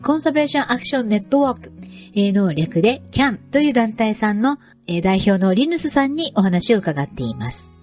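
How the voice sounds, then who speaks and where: A woman's voice: medium pitch, very animated, rapid-fire, darkish tone, faint music behind. A woman hosting a radio program in a polite, presenterly manner, introducing it as navigator. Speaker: program navigator and environmental education instructor. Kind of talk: scripted radio show introduction.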